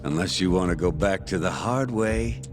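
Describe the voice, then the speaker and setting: A man's voice: low voice, normal speed, over music, close to an everyday voice. An actor playing a menacing, impatient tough guy in a video game, blunt and threatening. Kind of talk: English video game cutscene acting.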